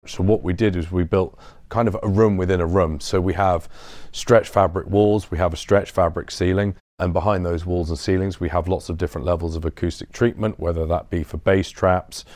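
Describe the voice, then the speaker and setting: Deep-voiced man giving a showroom tour. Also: steady tone, fairly quick, darkish tone, no room sound, studio quality. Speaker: business development director giving a showroom tour. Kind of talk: informal explanatory product presentation. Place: large acoustically treated showroom.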